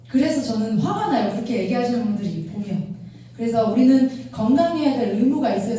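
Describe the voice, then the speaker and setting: A woman's voice: a little high, mellow. A woman in her thirties giving a motivational product lecture in a conversational way, often checking in with her audience through rhetorical questions. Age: 30s. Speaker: product trainer and speaker for a direct-sales business. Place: echoey indoor lecture room with an audience. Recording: very echoey room, distant mic, faint noise.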